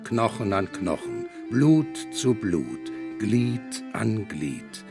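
Male verse reader for radio. Unhurried, highly melodic delivery over clear music. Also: very animated. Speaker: reader of quotations. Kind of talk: dramatic poetry recitation.

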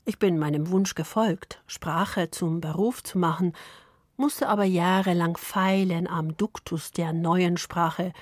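Slow, soft-spoken Polish woman narrating. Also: lowish voice, fairly even intonation, no echo, studio quality. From Poland. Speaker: radio author and narrator. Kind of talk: scripted reflective narration.

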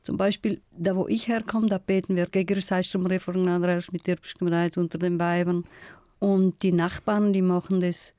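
Mellow-voiced South Tyrolean woman, dry studio. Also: on the low side, little pitch movement, no room sound. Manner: thoughtful, measured, storytelling. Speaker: guest, folklorist. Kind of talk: radio interview.

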